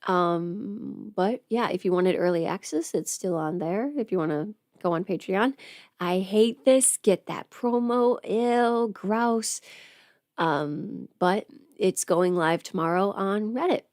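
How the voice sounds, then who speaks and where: A woman's voice: medium pitch, slow pace, darkish tone, no echo, clean studio sound. A woman who is sick with a cold, chatting casually on a livestream in a self-deprecating, playfully sarcastic way. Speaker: audio script performer hosting a livestream. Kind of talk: casual rambling livestream chat.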